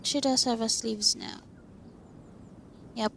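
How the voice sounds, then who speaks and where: A woman's voice: on the high side, steady tone, slow pace, vocal fry, fairly bright tone, slight background noise. A woman giving casual, informal playthrough commentary as she plays a game, remarking mostly to herself. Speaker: gaming streamer. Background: game music.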